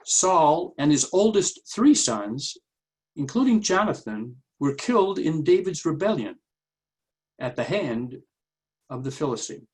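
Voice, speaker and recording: A man reads a prepared introduction to scripture for a church. His voice is slightly high and husky, and he takes his time. The delivery is measured and explanatory. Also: big pitch swings, darkish tone, low-quality recording. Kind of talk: prepared spoken introduction to a Bible story.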